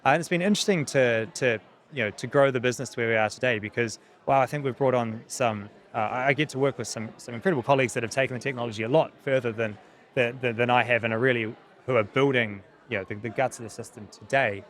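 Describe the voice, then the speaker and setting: A man in his thirties with a New Zealand accent answers interview questions earnestly, often pausing and repeating words as he thinks. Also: medium pitch. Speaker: technology company founder, interview guest.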